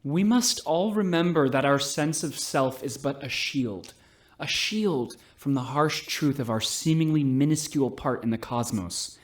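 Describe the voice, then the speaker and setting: Solemn, lofty space preacher, played by an actor. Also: male voice, mid-range voice, lightly acted. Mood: solemn, reverent. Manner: measured, lofty, earnest. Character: solemn cult-like preacher of the cosmos. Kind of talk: sermon in an audio drama.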